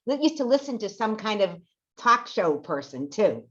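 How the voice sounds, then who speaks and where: A woman's voice: medium pitch, sing-song, moderate pace, a bit bright. A woman chatting in a hesitant, rambling way in a casual group talk on a video call. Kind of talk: casual chat. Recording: slightly dull video-call audio.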